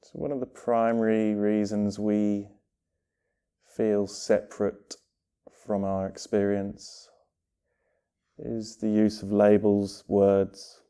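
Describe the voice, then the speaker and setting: Male meditation guide, very soft dark tone, smooth and clear, short phrases with long pauses. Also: fairly low pitch, level tone. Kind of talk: guided meditation, gentle instruction.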